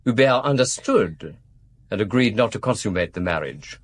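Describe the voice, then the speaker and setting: An actor playing a guarded, formal aristocratic man in a radio drama, speaking in precise, measured phrases. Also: lowish voice, expressive, slightly rough. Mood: formal, discreet.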